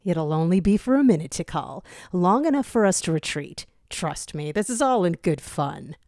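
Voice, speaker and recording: A woman's voice: fairly low pitch, animated, normal speed, a little mellow, no room sound, clean studio sound. A woman voicing a smug, scheming male jackal commander with a self-assured, grandiose manner in an audio story. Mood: suave, assured. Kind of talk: fan fiction audio story reading.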